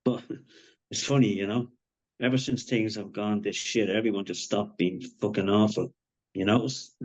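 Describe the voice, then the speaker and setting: Actor as wry, low-voiced man, dull audio. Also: steady tone, moderate pace, lightly acted. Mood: reflective. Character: wry, shaken man with sardonic gallows humour. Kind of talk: live screenplay table read acting.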